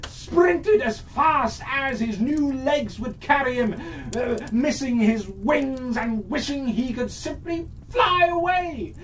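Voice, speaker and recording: A storyteller narrating a children's fairytale in a theatrical way, pausing often within phrases. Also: mid-range voice, a bit bright, recorded from a distance, slight background noise.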